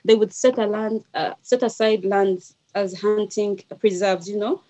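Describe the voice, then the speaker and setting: A woman's voice: medium pitch, fairly even intonation, a little slow, warm tone. A woman in her thirties with a Ugandan accent, speaking as a panelist on a video call, choosing words hesitantly and thoughtfully. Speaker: guest panelist, wildlife researcher. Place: small indoor room. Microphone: headset on a video call.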